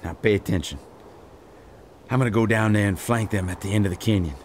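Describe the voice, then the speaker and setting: An actor playing a gruff, domineering Texas sheriff in an Old West audio drama, with a folksy, swearing manner. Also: male voice, fairly low pitch, brisk, a little mellow, slight background noise, natural voice. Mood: stern. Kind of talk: western audio drama acting.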